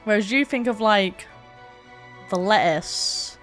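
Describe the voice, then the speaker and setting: A woman's voice: mid-range voice, takes it slowly, fairly bright tone. A woman livestreamer chatting casually while gaming, with a sweary, joking and rambling manner. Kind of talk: casual game stream chat. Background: game music.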